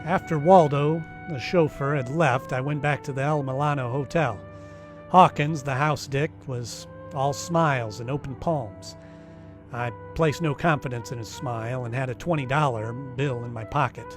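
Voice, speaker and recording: A man's voice: mid-range voice, normal speed, over music, close to an everyday voice. A man playing an unflappable, wisecracking private detective who also narrates in a first-person noir radio style. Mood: wry narration. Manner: dry, wisecracking.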